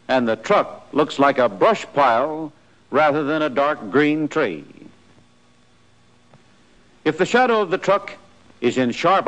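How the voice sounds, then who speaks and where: An American man narrating a 1940s military training film in a scripted, instructive style, with clear imperative delivery. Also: on the high side, a bit bright. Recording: noisy 1940s film soundtrack.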